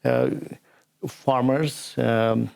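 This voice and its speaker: Gravelly man. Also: mid-range voice, sing-song, drawn-out words, darkish tone. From Afghanistan, later New Jersey. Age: 40s. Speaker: martial arts coach, interview guest. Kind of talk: casual interview answers.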